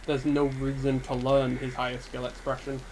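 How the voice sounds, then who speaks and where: A man giving an unscripted, subdued and hesitant rant about a game, sounding dejected and pausing often on ums. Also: mid-range voice, poor audio. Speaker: gaming video creator. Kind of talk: unscripted solo rant to camera. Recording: steady background ambience hiss.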